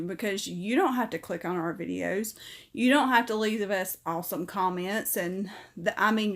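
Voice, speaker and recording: A middle-aged woman, chatting casually on a livestream as she reads viewer comments aloud and answers each one. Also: mid-range voice.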